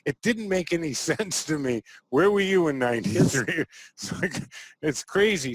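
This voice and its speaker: A man hosting a casual interview, chatty and quick to laugh, often ending thoughts with a questioning "right?". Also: mid-range voice, no room sound. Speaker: interview host.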